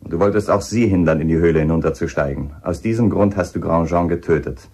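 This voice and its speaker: An actor playing a stern, probing police inspector in a radio crime drama, questioning insistently and accusingly. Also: male voice, low-pitched, fast talker, darkish tone, slightly rough.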